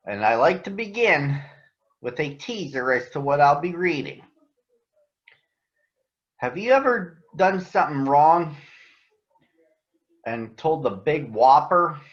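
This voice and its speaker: An American man addressing children and reading a picture book aloud in a deliberate, announcer-like way. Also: fairly high pitch. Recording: echoey room, slightly dull sound.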